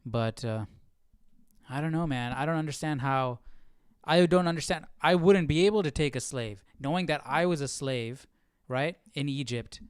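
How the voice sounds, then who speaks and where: A man's voice: a little high, normal speed, smooth, no room sound, studio quality. A man hosting a casual Bible study livestream, thinking aloud in a musing, questioning way. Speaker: host of a live Bible study.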